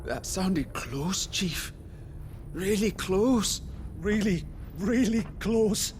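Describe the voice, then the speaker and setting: Casting: meek, anxious henchman, very high and wavering in pitch, pleading, game cutscene. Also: expressive, very slow, darkish tone, a little hiss or hum, full character voice. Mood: frightened. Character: timid, obedient male underling of a gang chief. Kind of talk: video game cutscene acting.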